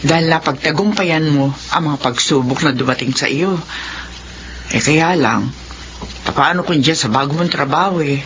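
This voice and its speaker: A woman's voice: deep voice, moderate pace, a bit bright, highs cut off, a little hiss or hum. An actress playing a caring, worried older mother in a radio drama, with a fretful, emotive delivery.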